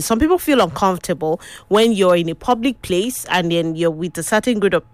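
A woman's voice: on the low side, no echo. Nigerian female radio host, chatty, asks the audience questions; dry studio sound. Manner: conversational, asks listeners questions. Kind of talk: radio talk show hosting.

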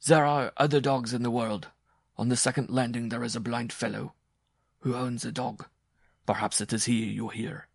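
A man's voice: lowish voice, expressive, normal speed, breathy edge, dry recording, clean studio sound, exaggerated voice. A man voicing a big, boastful circus rider in a story, contemptuous and blustering. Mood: angry contempt. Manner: blustering, scornful.

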